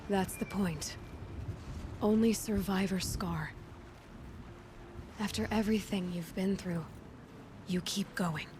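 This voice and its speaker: An actress playing a determined young huntress in a video game, speaking in a dry, direct, conversational way. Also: female voice, medium pitch, slow pace, long pauses, background noise, lightly acted. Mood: compassionate. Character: plain-spoken, determined, wry young huntress. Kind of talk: video game cutscene acting.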